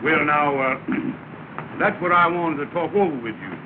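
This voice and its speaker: An actor playing a smooth-talking, scheming lodge leader who uses fancy words in an old film comedy. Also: male voice, a little high, a little slow, lightly acted. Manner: slick, long-winded, fancy words. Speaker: comic schemer in a 1930 film. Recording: scratchy, tinny, hissy 1930 film sound.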